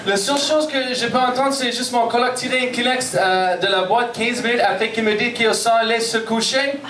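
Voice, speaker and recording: Anglophone Montreal comic, high voice, roomy bar. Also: male voice, moderate pace, distant mic, heavy background noise. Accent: English-speaking Montreal. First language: English. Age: 30s. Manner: self-deprecating, punchy, frequent "ok?" check-ins. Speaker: stand-up comedian. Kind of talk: stand-up comedy set. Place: comedy bar stage.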